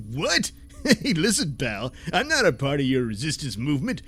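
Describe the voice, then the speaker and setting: A man's voice: a little high, wildly swooping pitch, normal speed, fairly bright tone, breathy edge, heavily acted. A man playing a brash, crude, put-upon space hauler, folksy and grumbling, in a comic sci-fi audio serial. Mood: defiant.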